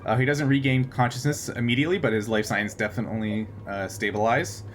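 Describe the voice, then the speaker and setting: Male game master, mid-pitched, informal and thinking aloud, some background noise. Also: fairly even intonation, a little fast. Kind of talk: narrating a tabletop role-playing game.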